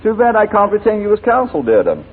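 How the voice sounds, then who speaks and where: An actor playing a suave, brazen blackmailer in a 1940s radio drama, glib and nonchalant with mock courtesy. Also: male voice, high voice, wildly swooping pitch, fast talker, full character voice. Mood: brazenly nonchalant. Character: suave, brazen male blackmailer and killer, coolly evasive. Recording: thin, phone-like 1940s radio recording.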